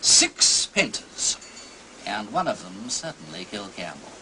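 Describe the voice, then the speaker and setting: An actor playing a breezy, eager aristocratic amateur sleuth in a period detective drama, airy and theatrical in delivery. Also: male voice, on the low side, sing-song, unhurried, pushed and bright, exaggerated voice, poor audio. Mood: breezy, intrigued. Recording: clear background ambient noise.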